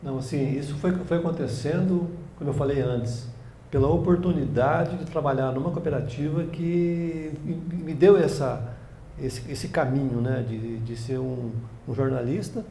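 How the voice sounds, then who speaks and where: A man in his sixties from Brazil, a journalist guest telling family stories in a relaxed interview, halting and restarting often. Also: medium pitch, vocal fry. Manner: rambling, halting storytelling with many restarts. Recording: echoey room, faint background noise.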